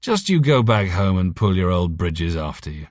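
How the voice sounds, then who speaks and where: A man's voice: sits low, sing-song, moderate pace, darkish tone, no room sound, studio quality. A man voicing a drunk, sardonic, cynical male scientist in an audiobook story, rambling and pitying. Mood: gleeful, warming up.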